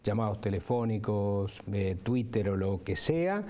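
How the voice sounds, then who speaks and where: A man's voice: lowish voice, expressive, unhurried, a little mellow. A man who works in radio, talking reflectively in an interview. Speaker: radio professional.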